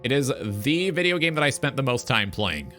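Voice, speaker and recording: Guy in his 20s hosting a talk stream, bright voice, kinda philosophical and earnest, light music behind him. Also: mid-range voice, sing-song, normal speed. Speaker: talk show host, game streamer. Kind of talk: reflective talk show monologue.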